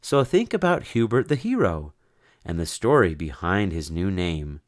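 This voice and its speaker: A man narrating a rhyming children's story in an expressive, theatrical way. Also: low-pitched, moderate pace. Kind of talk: dramatic rhyming children's story read-aloud.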